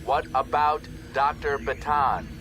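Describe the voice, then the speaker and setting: A man's voice: medium pitch, slow pace, noisy background, pitched above the performer's own voice, close to an everyday voice. A man voicing a wary, strained male starship captain in an audiobook, questioning and effortful. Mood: strained, skeptical. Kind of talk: audiobook character acting.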